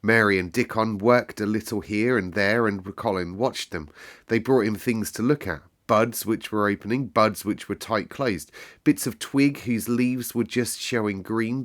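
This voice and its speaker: A man with a fairly low voice reads a classic children's story aloud. He tells it expressively, as a storyteller would for young listeners. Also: fairly bright tone. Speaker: reader of a children's novel.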